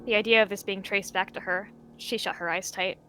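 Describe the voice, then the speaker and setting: A young woman narrating a cat roleplay story in a gentle, reflective way. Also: medium pitch, steady tone, fairly quick, soft background music, rough recording.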